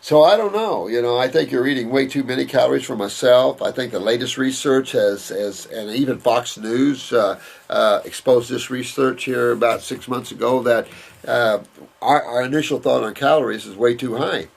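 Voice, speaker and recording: A man's voice: mid-range voice, normal speed, vocal fry. An older man hosting a health Q&A, reading viewer questions and answering them in a rambling way, with many fillers and repeated words.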